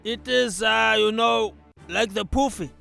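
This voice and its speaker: A man's voice: high-pitched, a little slow, vocal fry, bright, forceful tone, faint music behind, voice pushed up, exaggerated voice. A man putting on a dim, hesitant sidekick voice that fumbles for words.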